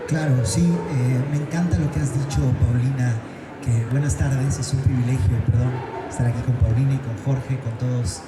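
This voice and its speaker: A man's voice: medium pitch, steady tone, normal speed, over a noisy crowd. Echoey enthusiastic Mexican man. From Oaxaca, Mexico. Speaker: literary panelist. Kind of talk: conversational literary commentary. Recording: echoey venue with music behind.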